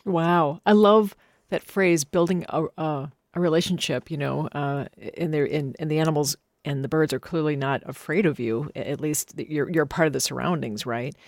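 A woman's voice: deep voice, normal speed, dry recording, clean studio sound. A middle-aged American woman hosting a radio program in a conversational interviewing style. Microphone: close studio microphone.

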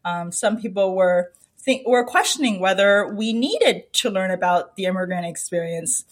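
A middle-aged woman with an American accent speaking as an interview guest, telling personal anecdotes in an animated, emphatic, conversational way. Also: medium pitch, normal speed. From United States. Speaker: interview guest, children's book author.

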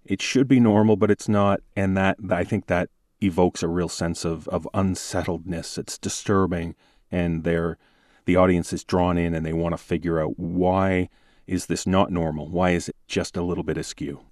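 A man speaking as an interview guest, explaining his ideas in a measured, thoughtful, conversational way. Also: low-pitched, fairly even intonation, a little mellow, no room sound, clean studio sound. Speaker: horror podcast host, interview guest.

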